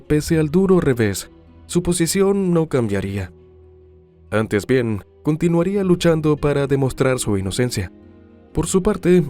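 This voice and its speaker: A man narrating a scripted true-crime documentary in a formal, measured storytelling manner. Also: mid-range voice, mellow.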